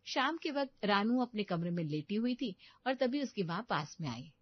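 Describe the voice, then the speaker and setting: A woman's voice: medium pitch, very animated, moderate pace, no room sound, muffled recording. A woman narrating a scripted story as its storyteller.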